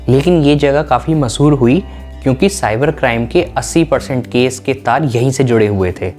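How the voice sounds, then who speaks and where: A male narrator speaks at an average pitch in an explanatory documentary style. He lays things out as a storyteller of factual events would. Music plays behind his voice. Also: darkish tone.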